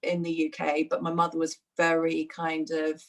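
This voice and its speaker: A woman's voice: low-pitched, completely flat delivery, moderate pace. A woman, a fitness instructor, chatting reflectively in an interview with many fillers and restarts. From United Kingdom. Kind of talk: casual interview chat.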